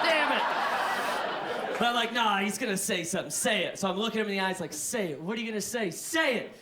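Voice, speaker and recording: A man from Kansas with a high-pitched voice doing a brash, profane stand-up set. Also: heavy background noise. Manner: brash, profane, animated storytelling. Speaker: stand-up comedian. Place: large comedy venue before a live audience. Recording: echoey live theater, crowd laughter. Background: audience laughter.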